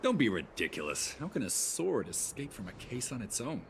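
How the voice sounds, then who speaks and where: A man's voice: mid-range voice, big pitch swings, moderate pace, vocal fry, noisy background. A man voicing a skeptical, flustered functionary in a video game. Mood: dismissive.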